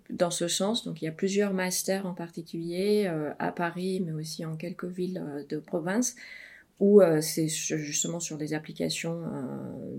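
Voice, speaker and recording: A middle-aged woman from Iran, a research mathematician, answering interview questions with many pauses and fillers. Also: lowish voice, darkish tone.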